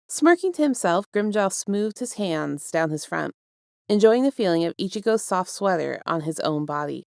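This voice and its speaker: A woman reading fiction aloud as an expressive, scripted narration with measured, deliberate phrasing. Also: lowish voice, vocal fry, no room sound, clean studio sound. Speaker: fan fiction reader.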